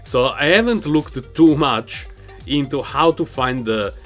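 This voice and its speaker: A man's voice: mid-range voice, lively intonation, measured pace, fairly bright tone, faint music behind. Chatty man with a non-native accent. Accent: non-native English accent. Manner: chatty, rambling, laughs often. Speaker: gaming channel host. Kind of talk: casual gameplay commentary. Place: home, on a couch. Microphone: microphone raised in front of him.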